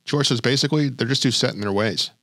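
A man's voice: mid-range voice, fast talker, sharp tone, no echo. A male podcast host narrating in a light, wry storytelling way between interview clips. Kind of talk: scripted narration.

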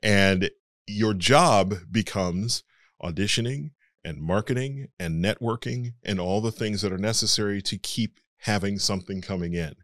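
Deep-voiced man, frank and dry. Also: moderate pace, a bit bright, no room sound. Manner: frank, matter-of-fact explaining. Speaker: voice actor guest. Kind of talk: interview answers, conversational.

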